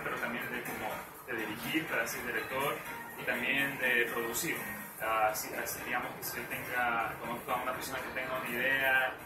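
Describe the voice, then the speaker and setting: A young man from El Salvador, raised in California, speaking as the guest in a casual interview, hesitant with many fillers. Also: medium pitch. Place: living room at home. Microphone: far camera. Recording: roomy, far from the camera mic.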